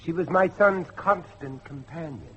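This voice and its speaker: Bright-voiced, intense middle-aged father. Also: a little high, dull audio, a little hiss or hum. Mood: firm, probing. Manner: courteous, deliberate, dramatic. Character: grave, determined father, a judge seeking his missing son. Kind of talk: old-fashioned radio drama acting.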